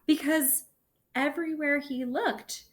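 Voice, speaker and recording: A woman teaching kindergarten children in a read-aloud lesson, explaining things simply and deliberately in short phrases. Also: sits high, steady tone.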